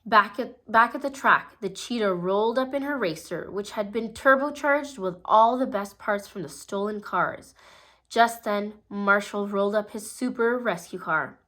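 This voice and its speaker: A woman reading a children's storybook aloud in a gentle, steady storytelling manner, with small slips now and then. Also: mid-range voice, a little slow. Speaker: storybook reader. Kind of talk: children's read-aloud narration.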